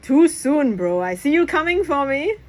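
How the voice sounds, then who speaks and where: Woman putting on a teasing, higher-pitched schoolboy pal. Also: sing-song, normal speed, a little mellow. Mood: joking. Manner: slangy, exclamatory. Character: playful teasing schoolboy best friend, mischievous sailor.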